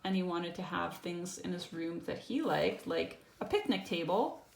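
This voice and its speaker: Woman reading aloud, creaky voice, roomy echo. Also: lowish voice, expressive, normal speed. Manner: explanatory, checks in with tag questions. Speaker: storyteller reading a picture book to a child. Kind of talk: read-aloud storytelling with casual asides to a child. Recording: roomy echo, faint background noise.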